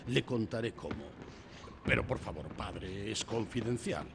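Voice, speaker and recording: An actor with a Castilian Spanish accent playing a wily, chatty homicide lieutenant who questions in a rambling, insinuating way, in a radio drama. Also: male voice, fairly low pitch, lively intonation, unhurried, background noise. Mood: probing, confiding. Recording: music under the voice.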